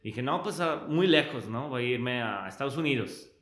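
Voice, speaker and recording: A man. His voice sits at an ordinary middle pitch. He talks in a reflective, storytelling way, often pausing to hunt for the right word as he looks back on his life. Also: lively intonation, a bit bright. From Switzerland. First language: Italian. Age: early 30s. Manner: reflective, storytelling, searches for words. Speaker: guest. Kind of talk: conversational interview.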